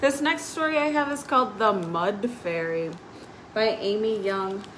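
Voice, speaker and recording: A woman's voice: medium pitch, a little slow, a bit bright. A woman hosting a children's storytime on a live stream, reading picture books aloud with simple, explanatory asides for young listeners. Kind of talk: picture-book read-aloud with informal asides. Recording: faint background noise on live stream.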